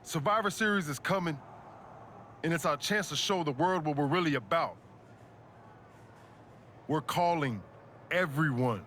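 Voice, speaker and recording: A man's voice: fairly high pitch, fairly bright tone, slight background noise. A man playing a fiery pro wrestler in a video game, speaking slangy, punchy lines with emphasis. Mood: fired up. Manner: slangy, punchy, emphatic delivery in short bursts. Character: fiery pro wrestler rallying his allies. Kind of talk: wrestling promo and video game cutscene acting.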